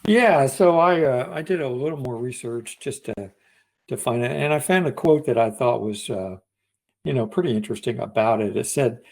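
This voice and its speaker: A man's voice: medium pitch, expressive, moderate pace, gravelly. A man co-hosting a casual, conversational discussion of computer history. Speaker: podcast co-host.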